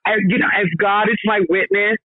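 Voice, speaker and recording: A man's voice: high voice, level tone, fairly quick, no echo, narrow, tinny audio. A man in his thirties, a podcast guest chatting casually in a flamboyant, campy way, calling everyone honey. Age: 30s. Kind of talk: casual interview chat.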